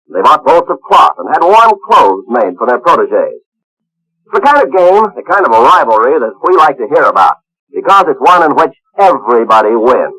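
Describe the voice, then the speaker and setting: A man's voice: medium pitch, very animated, normal speed, bright, forceful tone, breathy edge, low-quality recording. A man hosting and narrating a scripted radio programme in a storytelling style, with chatty asides and theatrical emphasis. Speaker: host and narrator.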